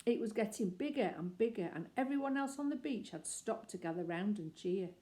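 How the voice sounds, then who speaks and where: Mellow woman reading a children's story. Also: medium pitch, a little fast. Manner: gentle, expressive read-aloud storytelling. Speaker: storybook reader. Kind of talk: reading a children's chapter book aloud.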